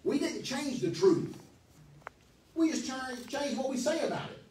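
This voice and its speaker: A man in his forties preaches with a high, swooping voice and emphatic energy, speaking into a handheld mic. He is heard from a distance in a roomy church hall that rings with echo, under a faint hum of background noise. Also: a little slow. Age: 40s. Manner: animated, emphatic, pacing the stage. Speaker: pastor. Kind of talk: sermon. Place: church hall, medium-sized, indoors. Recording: echoey hall, from far off.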